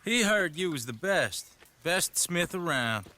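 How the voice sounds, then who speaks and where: An actor playing a genial, folksy hired gun with friendly, reassuring frontier talk in a game cutscene. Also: male voice, a little high, sing-song, a little slow, background noise.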